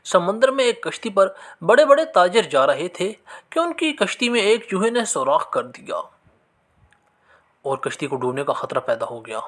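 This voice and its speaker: A man narrating scripted religious moral stories in an expressive, measured storytelling manner. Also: high voice.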